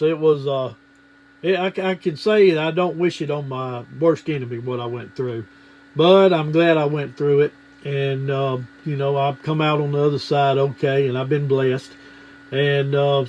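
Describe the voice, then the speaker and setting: A man's voice: fairly high pitch, a little mellow. A man chatting casually as an amateur radio operator over a radio transmission. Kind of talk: casual ham radio conversation. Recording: muffled band-limited ham radio signal.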